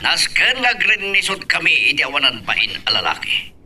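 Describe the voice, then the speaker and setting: Bright, forceful concerned father, acted by a man. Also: on the high side, wildly swooping pitch, normal speed, slight background noise, full character voice. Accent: Ilocano. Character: concerned father advising his grown daughter. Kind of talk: Ilocano radio-style love drama acting.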